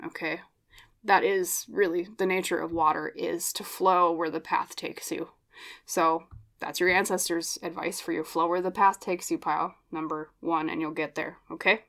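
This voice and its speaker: A woman giving a pick-a-card tarot reading, speaking in a gentle, reassuring way with a slightly scratchy voice. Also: lowish voice, little pitch movement, gravelly. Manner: gentle, slow, reassuring, with many fillers and tag questions. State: scratchy voice from smoke. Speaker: tarot reader.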